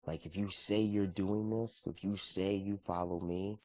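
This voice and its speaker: A man's voice: deep voice, a little slow, no echo. A man in his thirties talking casually and earnestly about his morning routine and faith. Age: 30s. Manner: earnest, relaxed, conversational with frequent restarts. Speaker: vlogger.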